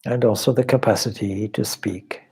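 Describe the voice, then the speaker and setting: A man leading a guided meditation as a spiritual teacher, speaking in a measured, contemplative manner with long pauses and a husky voice. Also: lowish voice, steady tone, darkish tone.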